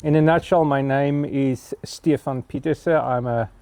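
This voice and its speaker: A man's voice: mid-range voice, steady tone, dark tone. Earnest Afrikaans-accented man in his fifties. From South Africa. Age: 50s. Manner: earnest, halting, many pauses and fillers. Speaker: vlogger. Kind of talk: reflective personal monologue.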